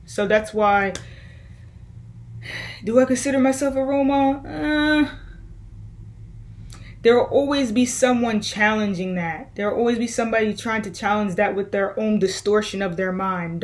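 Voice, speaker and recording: A woman's voice: mid-range voice, moderate pace. A young woman musician answering viewer questions in a loose, rambling way, with many fillers and restarts. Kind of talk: casual Q&A vlog.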